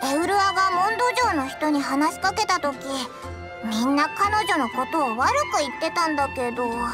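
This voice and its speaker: A tiny childlike fairy girl companion from an anime game. The voice is extremely high, squeaky and lively. She speaks bluntly and inquisitively over clear music. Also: a little fast. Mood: curious, puzzled. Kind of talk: Japanese anime game voice acting.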